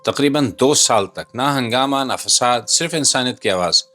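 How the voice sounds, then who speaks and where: A man's voice: mid-range voice, expressive, moderate pace, sharp tone. A man delivering a scripted political commentary voice-over in a dramatic, rhetorical, emphatic manner. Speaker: video commentator and narrator. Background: music bed.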